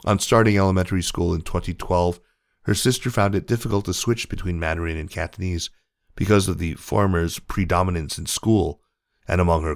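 A man reading a news feature article aloud in a measured, even narration. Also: sits low, dry recording, studio quality.

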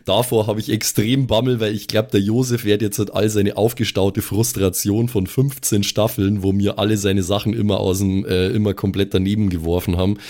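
A man's voice: fairly low pitch, level tone, brisk, hardly any pauses, darkish tone. A man co-hosting a podcast, chatting colloquially with friends in emphatic wording. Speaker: podcast co-host and player. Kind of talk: casual chat among friends.

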